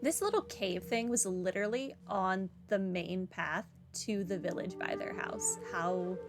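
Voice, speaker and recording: A woman's voice: medium pitch, measured pace, soft background music. A woman giving casual playthrough commentary with a dry, sarcastic manner. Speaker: gamer, playthrough host.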